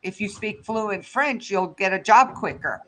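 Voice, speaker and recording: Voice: mid-range voice, lively intonation, measured pace, a bit bright, a little hiss or hum. Teasing chat host from New Jersey. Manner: teasing, storytelling. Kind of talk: casual chat.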